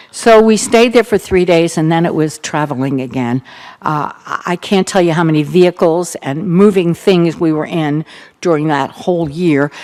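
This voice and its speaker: An older woman with a Baltimore accent, the keynote speaker, recounting her family's past in an earnest, reflective talk with gentle humor. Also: low-pitched. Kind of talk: personal testimony talk.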